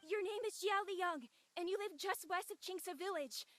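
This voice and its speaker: An actress voicing an earnest, devoted, worried young son in a video game, speaking politely. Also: female voice, extremely high pitch, normal speed, a bit bright. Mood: anxious, gentle.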